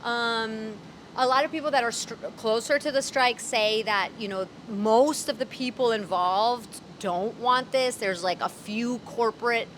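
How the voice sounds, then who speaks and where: Candid woman with dark humor on a street. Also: fairly high pitch, measured pace, slight background noise. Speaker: interview guest, voice actor and comedian. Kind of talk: casual street interview. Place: city street outdoors.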